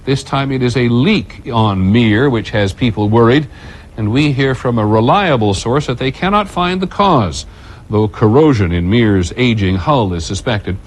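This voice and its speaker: Measured male news anchor. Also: medium pitch, animated. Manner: measured, authoritative delivery. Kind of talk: scripted news reading.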